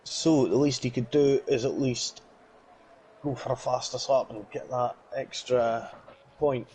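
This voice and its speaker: A man's voice: mid-range voice, a little slow, a little hiss or hum, rough recording. Chatty man co-commentating a race. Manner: chatty, casual, excitable exclamations. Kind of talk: sim racing color commentary.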